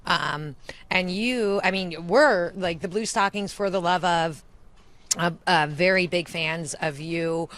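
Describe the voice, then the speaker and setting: A woman interviewing a guest in casual chat, talking in a halting way with frequent restarts. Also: on the low side, fairly bright tone. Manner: halting, lots of fillers and restarts. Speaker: interviewer, theater group member.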